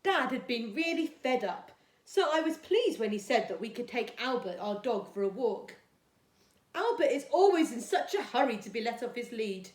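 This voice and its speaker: Woman playing a cheeky, lively young girl. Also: on the high side, normal speed. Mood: chirpy, cheeky. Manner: chirpy, blunt, childlike. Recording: echoey, roomy sound.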